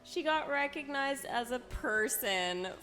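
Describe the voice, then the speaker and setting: A woman streams a video game in a highish, lively voice. She talks very slowly, commenting casually and reacting openly as she goes. Faint game music plays behind her. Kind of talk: casual live game commentary and reading dialogue aloud.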